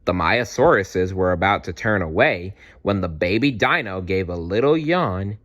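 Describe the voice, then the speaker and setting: A man reading a children's storybook aloud in an expressive, unhurried way, adding small asides. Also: low-pitched, muffled recording. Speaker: children's book read-aloud narrator.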